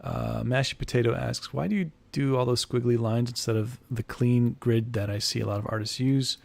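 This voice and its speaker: A young man chatting casually with viewers on a drawing livestream, answering questions in a loose, halting way and restarting phrases as he sketches at his desk. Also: mid-range voice, steady tone, gravelly, darkish tone. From Iraq, grew up in the United States. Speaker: art streamer. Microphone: headphones, desk mic.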